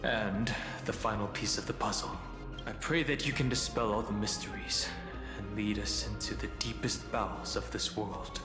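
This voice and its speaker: An actor playing a solemn, earnest male traveler in a video game, speaking in a grave, deliberate way. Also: on the low side, fairly even intonation, natural voice. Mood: solemn, resolute. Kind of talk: dramatic video game voice acting. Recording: echoey hall reverb with music behind.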